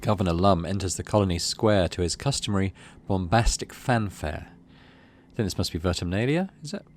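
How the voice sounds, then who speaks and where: A man's voice: low-pitched, normal speed, a little hiss or hum. A middle-aged man hosting a game playthrough, commenting casually and reading the story text aloud. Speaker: gaming video host.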